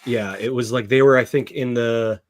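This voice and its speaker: A man's voice: medium pitch, fairly even intonation, moderate pace. A man hosting a casual panel discussion, chatting informally about a TV show. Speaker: host of a fan discussion show.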